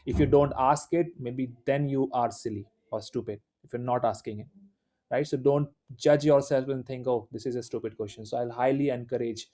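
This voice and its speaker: Indian man teaching, flat mellow voice. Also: medium pitch, moderate pace. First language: Hindi. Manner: informal and encouraging, many "uh" fillers. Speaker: instructor. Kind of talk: live online class lecture.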